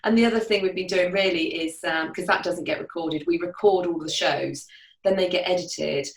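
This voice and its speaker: Brief: lower-voiced woman, lively, set back from the mic. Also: moderate pace. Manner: enthusiastic, laughs often. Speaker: guest, networking event organizer. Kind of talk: casual video-call chat. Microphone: video call. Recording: roomy echo, distant from mic.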